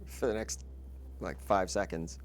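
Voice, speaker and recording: A man chatting as a player in a tabletop roleplaying game, with a gravelly voice and dry, joking manner. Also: deep voice, steady tone, unhurried, a little hiss or hum. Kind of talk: casual chat during a roleplaying game.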